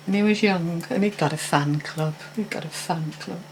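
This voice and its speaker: A woman's voice: on the low side, moderate pace, slight background noise. An older woman telling a personal story in a casual, hesitant, meandering way with frequent restarts. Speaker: vlogger.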